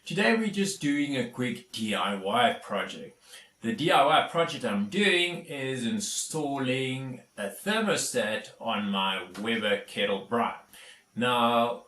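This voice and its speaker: A man's voice: medium pitch, animated, slow, sharp tone, echoey room, distant mic. A South African man whose first language is Afrikaans, hosting a casual DIY vlog and explaining each step with plenty of fillers. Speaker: YouTube DIY host.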